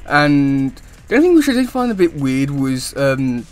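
A young man chatting casually to camera as a YouTube host, with a halting, wordy delivery. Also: mid-range voice, very animated, soft background music. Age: young adult. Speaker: YouTube host reviewing comic news. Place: small room indoors at home.

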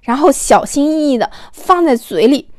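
A woman tells a story as an audiobook narrator, her voice very high. Her delivery is expressive and conversational, recorded close and dry. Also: normal speed, no echo.

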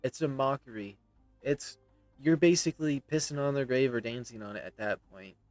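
A man's voice: medium pitch, normal speed, darkish tone, no room sound. A man in his thirties giving casual, opinionated, emphatic commentary as he plays a video game. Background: faint game music.

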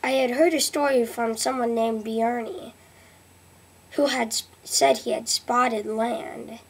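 A woman's voice: fairly high pitch, fairly even intonation, husky, exaggerated voice. A teenage girl playing the Viking explorer Leif Erikson for a school report, reading earnestly with many restarts, recorded on a webcam in a small room. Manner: reads haltingly with restarts. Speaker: student presenter. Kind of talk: school history report in character. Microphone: webcam at close range.

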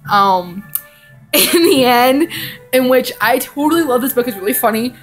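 A woman's voice: high voice, animated, a little slow, faint music behind. A young woman vlogger chatting casually and reading fan fiction in a rambling, giggly way. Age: young adult. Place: living room indoors.

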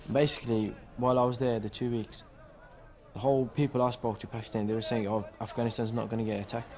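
A man's voice: medium pitch, little pitch movement, fast. A man, casually recalling events in an interview. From English Midlands. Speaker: documentary interviewee. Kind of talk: casual recollection.

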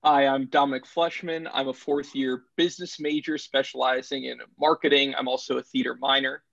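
A man's voice: mid-range voice, little pitch movement, brisk, vocal fry, a bit bright, dry recording. A man in his twenties, a student, introducing himself over a lo-fi video call. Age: 20s. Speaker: business student. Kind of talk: self-introduction. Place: indoor room, video call.

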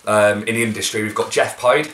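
A young British man on a living-room sofa, doing a mock-serious sales pitch with crude jokes for a comedy vlog. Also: fairly quick, fairly bright tone. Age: young adult. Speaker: vlogger. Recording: roomy with faint noise.

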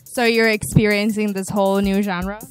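A young adult woman hosting a studio interview, asking questions in a bright, encouraging way on a handheld mic. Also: medium pitch, flat delivery, faint music behind. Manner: bright, encouraging, quick follow-ups. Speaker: interviewer, show host. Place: small studio in front of a logo backdrop.

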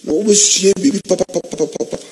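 A middle-aged man from Ghana, a pastor, preaching impassioned to camera from an indoor office, swinging between hushed low warnings and loud high exclamations. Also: fast. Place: indoor office, seated in armchair.

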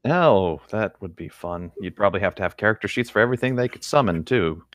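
A man's voice: fairly low pitch, lively intonation, brisk, fairly bright tone, no room sound, studio quality. A man co-hosting a podcast in casual chat, easygoing and a little hesitant in how he talks. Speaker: podcast co-host.

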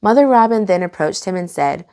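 A young adult woman hosting children's storytime, reading aloud in an animated, expressive way and enunciating for young listeners. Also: mid-range voice, fairly quick.

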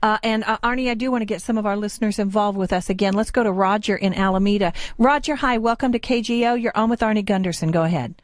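A woman's voice: mid-range voice, fast, hardly any pauses, no room sound, studio quality. An American woman hosting a live call-in radio interview. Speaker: radio talk show host. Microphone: close broadcast studio microphone.

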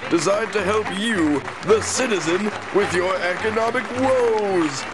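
A man's voice: high-pitched, unhurried. A man announcing a program in a 1930s newsreel style. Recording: very noisy, crowd behind announcer.

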